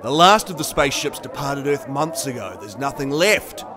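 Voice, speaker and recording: A man's voice: mid-range voice, animated, moderate pace, natural voice. A man playing a weary, cynical leader of a survivors' camp in a sci-fi audio drama, grim and curt. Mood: grim, resigned. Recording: busy crowd ambience behind.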